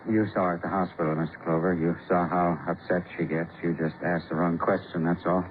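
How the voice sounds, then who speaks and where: A man's voice: sits low, level tone, brisk, close to an everyday voice. An American man playing a cowed, defensive husband in a 1950s radio drama, tense and protesting, repeating himself. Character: cowed, defensive husband shielding his wife. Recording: scratchy old radio broadcast, narrow band, hiss.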